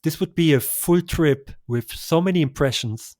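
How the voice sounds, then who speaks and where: A man's voice: on the high side, moderate pace, a little mellow, dry recording. Enthusiastic male travel guest, dry sound. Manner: enthusiastic, effusive recommendations with small laughs. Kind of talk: conversational travel interview.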